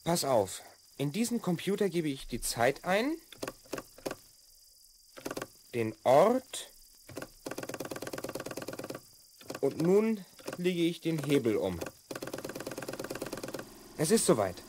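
A man's voice: on the high side, sing-song, slow, long pauses, background noise. An actor playing a confident twelve-year-old boy from the future in a children's audio drama, explaining and instructing with assurance. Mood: calm, focused. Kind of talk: 1970s German children's audio drama acting.

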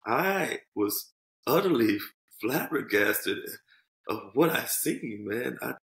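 A man co-hosting a casual sports podcast, animated and joking, swearing freely as he talks over a video call. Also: mid-range voice, reverberant. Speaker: podcast co-host. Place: home room. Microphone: headset on video call.

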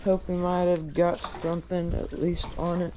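A man narrating his own outing in a relaxed, chatty way, filmed outdoors by a creek on a chest-mounted action camera. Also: high-pitched, moderate pace, noisy background. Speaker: vlogger. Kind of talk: casual first-person commentary.

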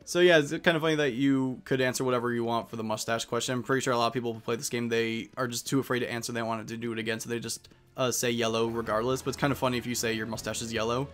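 A man's voice: medium pitch, steady tone, racing, hardly any pauses, fairly bright tone. A man giving casual commentary as he plays through a game. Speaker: let's player.

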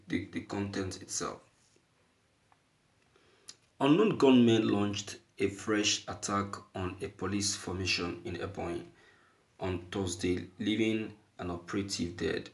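Slow, low-voiced Nigerian man commenting. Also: creaky. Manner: emphatic, repeats phrases for stress. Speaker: online news commentator, host. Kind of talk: opinionated news commentary.

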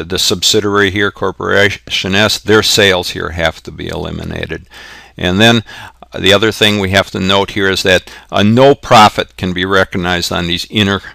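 Male instructor, very low gravelly voice with a bright edge, step-by-step explainer, informal delivery. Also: no room sound, clean studio sound. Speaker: accounting instructor. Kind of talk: informal tutorial narration explaining worked examples step by step. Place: close indoor voice-over for a screen recording.

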